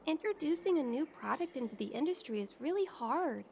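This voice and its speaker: A woman's voice: high voice, animated, a little fast, gravelly, darkish tone, a little hiss or hum. An actress playing an earnest young woman who owns a tea house in a video game. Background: game music.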